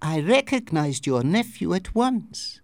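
Storybook adventuress played by a male narrator: coy, self-pitying woman, raised pitch, slow theatrical lilt. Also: expressive, no echo, studio quality. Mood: flirtatious.

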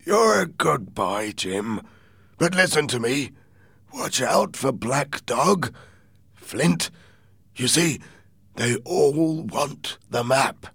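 A man voicing a weak, gruff old sea captain for a storybook, with a raspy voice and slow, laboured, urgent delivery. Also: medium pitch, lively intonation, a bit bright, no room sound, pitched above the performer's own voice, full character voice. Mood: weary warning. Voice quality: gravelly, raspy.

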